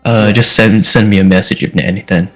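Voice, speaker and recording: Casting: man, lowish voice, tabletop player, halting delivery. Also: fairly even intonation, faint music behind. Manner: halting, hesitant in character. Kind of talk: playing a tabletop game.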